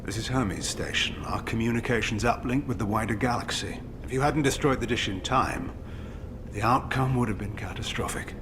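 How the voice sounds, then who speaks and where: An actor playing a defensive scientist in a video game, earnest and grave, explaining himself with emphasis. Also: male voice, mid-range voice, normal speed, background noise, natural voice. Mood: grave, explanatory. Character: defensive male scientist justifying his disastrous experiment. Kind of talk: dramatic video game dialogue acting.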